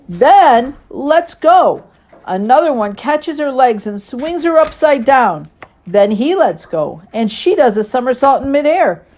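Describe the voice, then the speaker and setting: A woman reading a children's story and gentle lesson expressively, as a Sunday school teacher does. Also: high-pitched.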